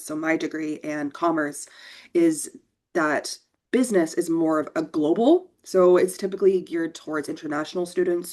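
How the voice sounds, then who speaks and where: Casual American woman student, lowish voice. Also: darkish tone. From Seattle, USA. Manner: casual, laughs easily. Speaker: mature student panelist. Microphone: video call.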